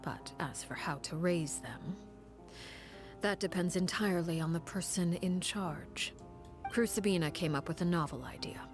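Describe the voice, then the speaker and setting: Woman voicing a cold composed game character, low voice, breathy half-whisper, measured, grave. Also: faint music behind, natural voice. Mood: grave, calm. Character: cold, composed woman recounting a grim past. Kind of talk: game story cutscene dialogue.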